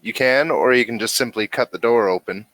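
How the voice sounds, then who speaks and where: A man narrating a tabletop role-playing game as game master, halting as he searches for words. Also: mid-range voice, fairly bright tone, dry recording.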